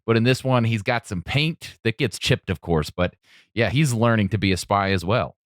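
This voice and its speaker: A man with a fairly low voice co-hosts a podcast in a conversational style, guiding the discussion. The recording is dry, with hardly any room to it. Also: moderate pace, dry recording. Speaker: podcast co-host. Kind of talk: podcast hosting, posing questions to guests.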